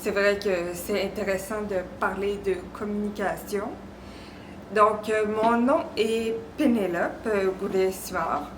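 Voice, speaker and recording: A Québécois woman in her thirties shares a personal testimony as a panelist. She goes very slowly and haltingly, leaving gaps between phrases. The room is echoey, with faint noise behind her. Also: medium pitch, steady tone. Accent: Québécois French. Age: 30s. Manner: halting, with long pauses from aphasia. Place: echoey room before live audience. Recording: roomy echo, faint background noise.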